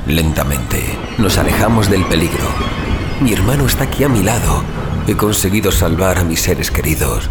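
An actor playing a frightened, protective brother fleeing sea monsters in a dramatic horror audio drama. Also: male voice, deep voice, lively intonation, moderate pace. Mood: haunted, grave. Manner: dramatic, haunted, swings from grave narration to urgent dialogue. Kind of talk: Spanish horror audio drama, dramatic first-person narration. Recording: loud music over the voice.

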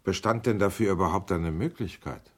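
An actor in a German radio documentary drama playing a persistent inquiry examiner, putting formal, pointed, insistent questions. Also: male voice, low-pitched, normal speed, husky. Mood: persistent, polite. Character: probing, persistent male inquiry committee examiner.

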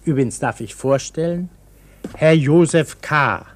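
An actor playing a fussy, anxious older uncle in a German radio play, bossy, urgent and insistent. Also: male voice, a little high, unhurried. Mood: brisk, bossy. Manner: urgent, insistent, repeats words.

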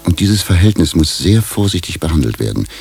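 A restless, middle-aged professor hungry for adventure in a radio comedy. His voice is extremely low and gravelly. He confides and complains insistently, full of self-pity. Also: big pitch swings, brisk, darkish tone, soft background music, heavily acted. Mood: pleading, yearning. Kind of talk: comic radio drama acting. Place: radio drama studio.

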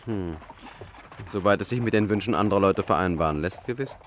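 Radio play lead: young man actor, cool and self-assured, formal, lowish voice against clear noise. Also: a little fast, lightly acted. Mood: guarded, polite. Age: young adult. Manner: formal, restrained, terse. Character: reserved, self-assured young male student posing as secretary. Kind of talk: German radio drama acting. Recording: noticeable background noise.

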